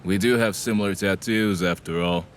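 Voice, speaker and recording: A man's voice: low-pitched, steady tone, normal speed, slight background noise, lightly acted. A man playing a friendly, laid-back young outlaw vampire in an audio drama, with a casual, slangy, inviting manner. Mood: friendly, sly.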